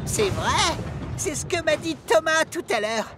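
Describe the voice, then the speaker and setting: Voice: high-pitched, big pitch swings, measured pace, over music. An actor voicing an eager, naive young male diesel engine, chatty and childlike, in a French children's cartoon dub. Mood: friendly, curious.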